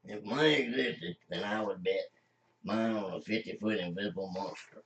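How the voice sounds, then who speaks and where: A man voicing a stubborn, slow-witted caveman in an amateur comic radio play. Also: on the low side, vocal fry, a bit bright, a little hiss or hum, pitched below the performer's own voice. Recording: dull, roomy, distant mic.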